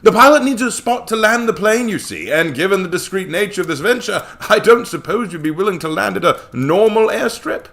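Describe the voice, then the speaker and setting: A greedy, smarmy storybook mercenary acted by a man, all false politeness and eagerness. The voice is pushed high, bright and swooping in pitch. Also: lively intonation, brisk, gravelly, few pauses, heavily acted. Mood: eager. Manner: falsely genteel, tutting, overeager.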